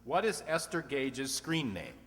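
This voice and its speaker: A wry, teasing quiz show host played by a man quips his way through an old-time radio comedy program. His medium-pitched voice rings in a long hall reverb. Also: sing-song, measured pace, fairly bright tone. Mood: brisk, wry. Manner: wry, quipping. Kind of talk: 1940s-50s comedy radio quiz re-enactment.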